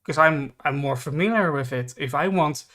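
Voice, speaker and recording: A man's voice: on the high side, moderate pace, a bit bright. A man whose first language is Dutch, speaking English in a thoughtful way and searching for words. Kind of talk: casual opinion chat.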